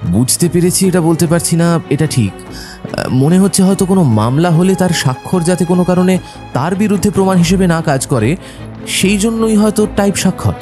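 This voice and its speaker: A mellow, warm-voiced doctor tells the story earnestly over faint music. His delivery is measured and admiring, as the narrator of an audio drama. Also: high voice, expressive. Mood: curious, unsure. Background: faint background music.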